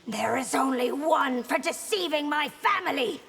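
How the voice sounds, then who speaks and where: An actress playing a proud, commanding young empress in a fantasy video game, with an imperious, formal manner. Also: female voice, sits high, animated, unhurried, vocal fry, a bit bright, full character voice. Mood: angry, commanding. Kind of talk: video game dialogue acting. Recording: long hall-like reverb.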